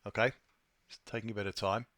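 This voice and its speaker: Guy with a really deep voice doing a screen share walkthrough, kinda hesitant, thinking out loud, dry close mic. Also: steady tone, fast, no room sound. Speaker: software tutorial presenter.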